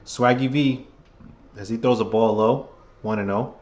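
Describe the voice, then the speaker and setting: A man giving casual, chatty play-by-play for a live chat, seated at a table at home, sounding under the weather with a voice getting hoarse. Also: mid-range voice, fairly even intonation, measured pace, darkish tone, muffled recording. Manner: chatty, hyped, keeps rallying the chat. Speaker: fan livestream commentator.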